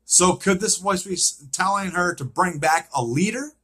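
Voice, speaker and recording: A man's voice: high-pitched, normal speed, fairly bright tone, breathy edge. A man giving an informal recap and theory commentary on a show, emphatic and repetitive, often posing rhetorical questions. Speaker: fan commentator on a TV series.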